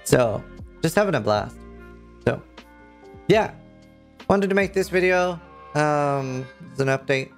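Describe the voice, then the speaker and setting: A man's voice: fairly high pitch, expressive, slow, pauses often, faint music behind. A man in his thirties with a Norwegian accent, a streamer chatting casually and enthusiastically to camera about a video game he loves. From Norway. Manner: enthusiastic, rambling, lots of fillers. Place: indoor streaming room.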